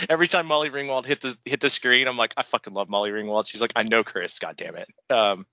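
A man's voice: mid-range voice, brisk, bright, forceful tone, no room sound, dull audio. A man co-hosting a casual podcast, congested from the flu, laid-back and joking with plenty of swearing. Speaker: podcast co-host.

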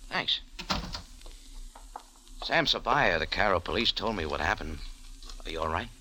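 A man's voice: low voice, animated, a little slow, noisy background. A man acting in a 1940s radio drama as a tough, wry American cafe owner turned sleuth, with an American accent and clipped hardboiled delivery. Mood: probing, cool. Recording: vintage radio broadcast with music.

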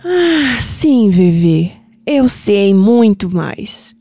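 A woman's voice: mid-range voice, very animated, warm tone, full character voice. Actress as solemn, tender Brazilian grandmother, music beneath. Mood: solemn, grave. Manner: solemn, affectionate, measured. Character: tender, wise, mystical old grandmother revealing family secrets. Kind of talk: audio drama acting. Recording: music bed under the voice.

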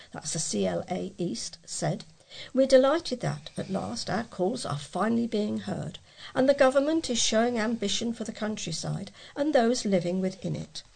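A woman reading local news aloud as a volunteer newsreader, with a husky, creaky voice. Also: mid-range voice, a little mellow.

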